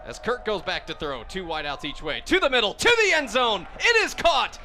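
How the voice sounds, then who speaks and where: High-pitched American man calling football on radio. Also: sing-song, normal speed. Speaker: radio play-by-play announcer. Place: outdoor football game, live radio broadcast. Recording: loud outdoor stadium noise behind voice.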